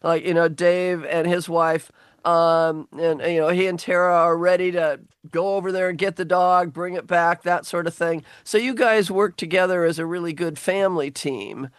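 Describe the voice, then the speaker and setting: A woman's voice: lowish voice, steady tone, normal speed, clear, resonant tone, dry recording. A woman hosting a casual radio chat show, talking in a chatty, rambling way with many ums, her voice worn down by allergies. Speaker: radio host.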